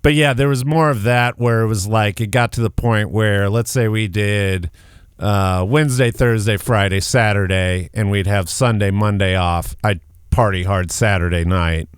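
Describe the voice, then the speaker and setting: A male guest with a loud, smooth, resonant voice tells stories in easy conversation and laughs often. The sound is dry and close-miked. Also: on the low side, steady tone, moderate pace, dry recording. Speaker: guest, comedian and punk singer. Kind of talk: casual conversational interview.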